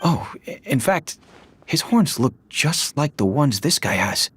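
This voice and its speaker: A man's voice: on the high side, sing-song, unhurried, a little hiss or hum. An actor playing a weary, cautious village father in a video game, mild and hesitant.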